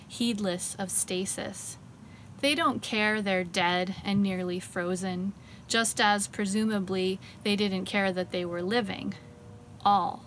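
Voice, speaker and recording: A middle-aged woman reading poetry in a measured way, with deliberate phrasing and pauses between short phrases. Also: mid-range voice, background noise. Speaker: poet and teacher reading a poem.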